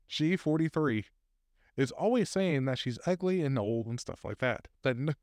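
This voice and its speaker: A man's voice: mid-range voice, animated, unhurried, darkish tone, no echo, studio quality. A man co-hosting a casual podcast, reading posted stories aloud with fillers and laughs.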